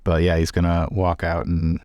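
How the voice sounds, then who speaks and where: A man's voice: deep voice, completely flat delivery, normal speed, darkish tone, dry recording, clean studio sound. A man casually narrating his character's actions as a player in a tabletop roleplaying game.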